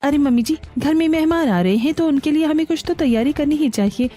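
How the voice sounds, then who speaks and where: Young daughter-in-law for a family cartoon woman acting, higher pitch, expressive, eager and a bit stubborn, light music behind. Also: brisk, no room sound. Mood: eager, insistent. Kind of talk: Hindi cartoon family story dialogue.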